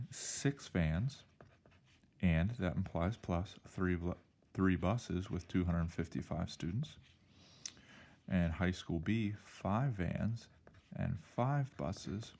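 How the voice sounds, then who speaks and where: Man, math teacher, deep voice, mellow tone, slightly hoarse, playful and upbeat. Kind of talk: recorded algebra lesson walkthrough.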